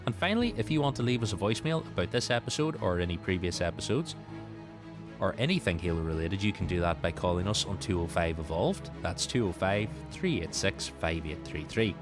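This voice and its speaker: A man's voice: deep voice, expressive, fairly quick, music in the background. A man hosting a podcast in a casual, conversational style. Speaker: podcast host.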